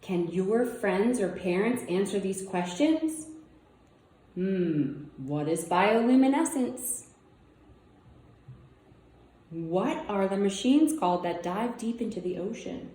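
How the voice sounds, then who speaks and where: Slow-paced woman reading aloud in echoey room. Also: mid-range voice, animated, dark tone. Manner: simple child-directed phrasing, wondering aloud. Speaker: read-aloud presenter. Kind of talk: reading a children's nonfiction book aloud with casual asides. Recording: roomy, echoing indoor sound.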